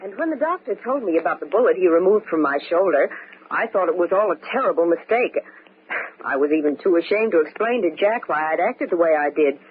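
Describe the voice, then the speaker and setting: A woman's voice: on the low side, animated, brisk, lightly acted. An actress playing a frightened, desperate married woman with a pleading, dramatic delivery in a 1940s radio mystery. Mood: anxious, urgent. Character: frightened, desperate young married woman convinced of murder plot. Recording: hissy, narrow-band old radio broadcast.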